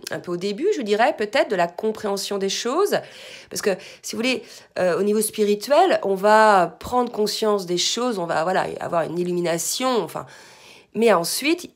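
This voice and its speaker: A hoarse woman giving a spiritual card reading to her viewers, soft-spoken, sometimes murmuring requests to her guides. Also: mid-range voice, lively intonation, moderate pace. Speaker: tarot card reader.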